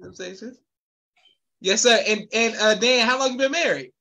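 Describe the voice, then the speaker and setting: A man's voice: sits high, moderate pace, sharp tone, highs cut off. A man hosting a podcast over a video call, upbeat and polite, often saying yes sir. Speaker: podcast host. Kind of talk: casual interview. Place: home room with poster wall.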